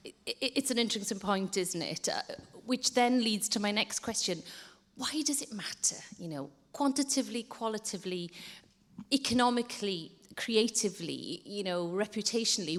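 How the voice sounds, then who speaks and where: A woman moderating a conference panel, who puts her questions politely and in order. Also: medium pitch. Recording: hall reverb.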